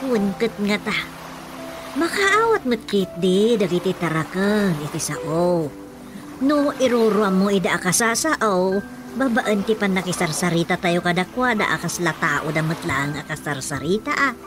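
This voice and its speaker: A woman's voice: medium pitch, lively intonation, unhurried, close to an everyday voice. A woman with an Ilocano accent playing a middle-aged mother in a radio drama, nagging and teasing. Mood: explaining. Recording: radio drama with music underneath.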